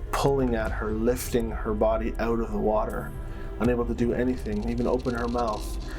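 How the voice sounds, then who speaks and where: A man's voice: mid-range voice, rough recording. A man tells a dramatic fantasy story as a game master, slipping into casual asides. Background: soft plucked-string music.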